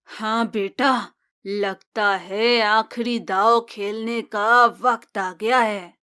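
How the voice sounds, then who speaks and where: A woman playing a cruel, scheming mother-in-law in a moral-tale cartoon, sly and gloating with drawn-out phrasing. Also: mid-range voice, steady tone, pushed and bright, smooth.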